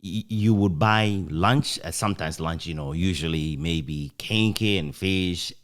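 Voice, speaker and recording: A man with a Ghanaian accent reminiscing in a conversational interview, speaking reflectively and haltingly, repeating phrases for emphasis. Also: deep voice. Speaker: interviewer, author reminiscing with former colleague.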